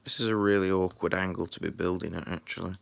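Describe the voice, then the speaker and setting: A man talks loosely through a live stream, full of ums and half-muttered asides as he works. His voice sits very low with a creaky, gravelly edge. He sounds a little stuffy from a runny nose. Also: steady tone, fast. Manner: rambling, filler-heavy, mutters to himself while working. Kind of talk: casual live-stream chat while building.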